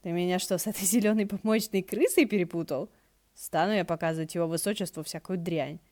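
A woman's voice: lowish voice, a little fast, warm tone, no echo, natural voice. Woman voicing a snappish, sly male ghost lord. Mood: teasing, proud. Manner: sarcastic, barking, teasing.

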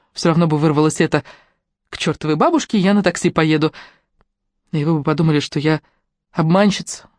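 A narrator voicing a shy but bold young woman who speaks Russian with a Ukrainian accent, in a lively, folksy manner. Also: low-pitched, no echo, clean studio sound, natural voice.

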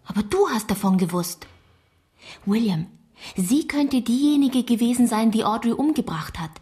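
A woman's voice: fairly high pitch, lively intonation, normal speed, warm tone, natural voice. An actress playing a worried, insistent teenage girl, a protective sister, in a radio drama. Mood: anxious, probing.

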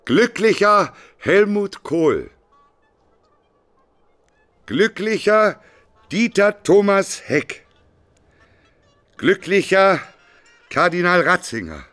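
An actor playing a scornful chanter in a radio play, declaiming emphatic, drawn-out litanies. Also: male voice, high-pitched, very animated, a bit bright, no room sound, exaggerated voice. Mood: mocking litany. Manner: incantatory, emphatic, drawn-out repetitions. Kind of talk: German radio play recitation.

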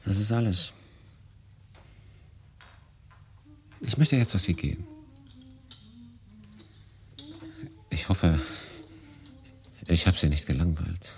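An actor playing a melancholy, resigned refugee man in a literary radio play, speaking in a husky voice with sombre, measured phrasing and deliberate pauses. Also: low voice, animated, a little mellow. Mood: weary, resigned. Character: melancholy male refugee, resigned, recounting his story. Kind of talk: literary radio play, first-person narration and dialogue.

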